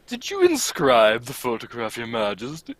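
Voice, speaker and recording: A man playing a fussy, eager, loyal gentleman sidekick in a radio mystery, polite, exclamatory and inquisitive. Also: mid-range voice, wildly swooping pitch, moderate pace, no room sound. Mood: astonished. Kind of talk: old-time radio mystery acting, read live.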